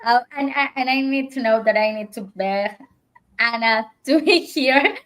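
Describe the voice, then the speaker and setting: Host, female, fairly high voice, casual chat with restarts. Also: a bit bright. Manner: halting, many restarts and fillers, laughs often. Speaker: livestream host.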